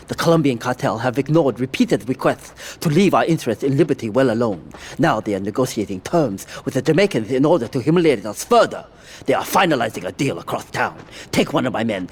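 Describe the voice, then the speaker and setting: Stern, honor-bound gang boss, formal and lively in delivery, for a video game cutscene. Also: male voice, medium pitch, breathy edge. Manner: formal, grave, commanding. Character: proud, ruthless crime boss who speaks formally.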